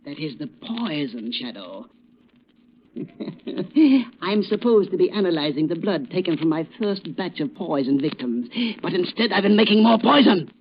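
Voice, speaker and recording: High, cackling mad scientist villain. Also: male voice, sing-song, normal speed, slightly rough, heavily acted. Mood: gloating. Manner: maniacal, taunting, swinging from hushed menace to shrill cries. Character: insane, gloating male villain, a scientist poisoner. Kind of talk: 1930s radio acting. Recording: dull, noisy old radio recording.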